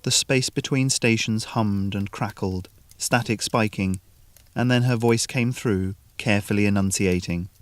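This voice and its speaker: Low-voiced man reading short fiction. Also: measured pace, no echo, faint music behind. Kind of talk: scripted story narration.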